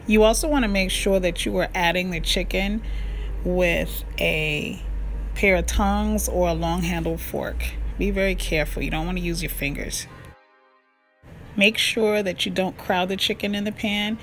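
A woman's voice: on the low side, moderate pace. Upbeat woman narrating a home recipe. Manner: upbeat, chatty, speaks straight to viewers. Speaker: cooking blogger and channel host. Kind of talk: casual recipe voice-over. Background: music.

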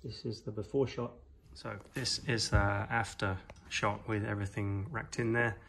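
A man's voice: on the low side, little pitch movement, normal speed, vocal fry, a bit bright, a little hiss or hum. A man giving a casual, rambling product review at home, with frequent ums and uhs, filmed on a phone. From New Zealand. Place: home gaming room, indoors. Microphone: phone camera, off screen.

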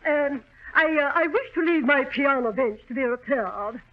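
An actress playing a haughty, pompous society club matron with genteel, hesitant speech in a 1930s radio comedy. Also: female voice, sits high, lively intonation, normal speed. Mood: haughty, flustered. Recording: tinny, narrow 1930s radio recording.

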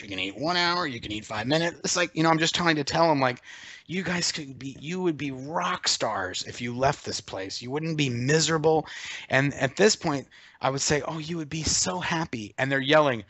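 Man, 40s, animated storyteller, crisp bright tone, dry sound, video call. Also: a little high, dry recording. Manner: animated, acts out quoted voices, laughs as he tells it. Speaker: interview guest. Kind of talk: casual storytelling.

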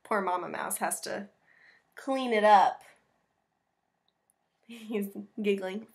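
Expressive American woman reading to children. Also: mid-range voice, takes it slowly. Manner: expressive, explains things simply for children. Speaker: teacher reading a picture book to her class. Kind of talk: children's read-aloud with chatty asides. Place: small room at home with bookshelves.

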